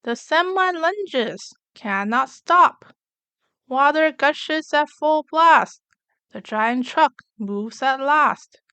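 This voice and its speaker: A woman reading a rhyming picture book aloud for young children, in an expressive, clearly enunciated storytelling manner. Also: sits high, extremely slow, no echo. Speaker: children's story reader, channel host.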